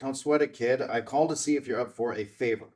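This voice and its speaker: An actor playing a terse, guarded investigator with a dry edge in a screenplay table read. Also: male voice, medium pitch, fast, a little mellow, distant mic, lightly acted. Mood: brisk, businesslike.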